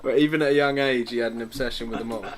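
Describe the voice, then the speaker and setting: A man's voice: mid-range voice, fairly even intonation, very fast. Male co-host, mid-range voice, flippant and slangy, loose bantering chat. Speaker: podcast co-host. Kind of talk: casual joking chat. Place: online video call.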